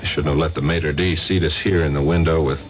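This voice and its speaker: An actor playing a firm, direct American narcotics agent in a 1970s radio drama, speaking plainly. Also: male voice, extremely low pitch, fairly even intonation, a little fast. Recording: dull lo-fi old radio broadcast.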